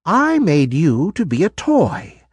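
A man voicing a kindly old woman toymaker who speaks softly and soothingly in a storybook. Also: a little high, wildly swooping pitch, takes it slowly, breathy edge, no echo, pitched above the performer's own voice, heavily acted. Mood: gentle. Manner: soft, soothing.

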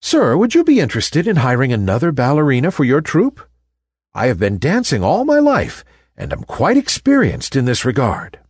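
A man's voice: mid-range voice, huge pitch swings, normal speed, slightly rough, dry recording, clean studio sound, full character voice. A man voicing a timid little toy ballerina with an earnest, pleading way of talking, read in a storybook. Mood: eager, polite. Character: small timid toy ballerina girl, dreamy.

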